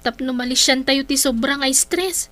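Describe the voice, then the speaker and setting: Outspoken, exasperated Filipino daughter, Ilocano accent, high-pitched and bright, radio drama. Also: female voice, moderate pace. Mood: fed up. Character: exasperated, outspoken grown daughter of a troubled family.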